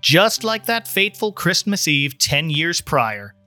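A man's voice: fairly high pitch, very animated, unhurried, pushed and bright, no room sound, soft background music. A man, a podcaster, reading in a scripted storytelling style. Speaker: podcaster, guest reader. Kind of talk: podcast promo and audiobook-style narration.

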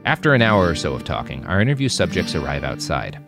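Deep-voiced male documentary narrator. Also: sing-song, quick pace, over music. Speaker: podcast host and narrator.

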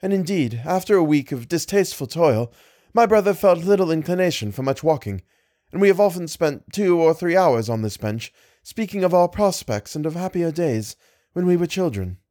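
A man voicing a distressed young Victorian woman who pleads in a low, murmuring voice with formal, ornate speech. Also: animated, normal speed, warm tone, no echo, clean studio sound, natural voice. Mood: earnest.

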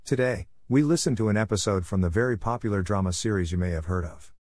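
A deep-voiced man introduces an old radio episode in a friendly, earnest tone. His voice is recorded close and dry, with no room sound at all. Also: quick pace, a little mellow, no room sound. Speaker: podcast host. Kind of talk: read podcast introduction with sponsor message.

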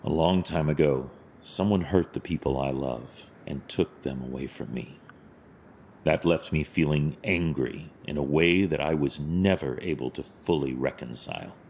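An actor playing a stern but compassionate masked vigilante hero in an audio drama, speaking in a measured, formal, solemn way. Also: male voice, very deep voice, darkish tone, slight background noise.

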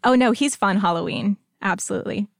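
A woman's voice: mid-range voice, brisk, vocal fry, no echo. Playful female co-host, dry close sound. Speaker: podcast co-host. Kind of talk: casual banter.

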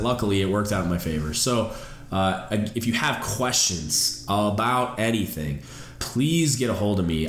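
A man's voice: deep voice, reverberant. A man hosting a podcast, sounding nasal and stuffed up from a cold, earnest and encouraging as he talks. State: stuffed up and congested. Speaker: podcast host. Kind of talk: solo mentoring podcast talk.

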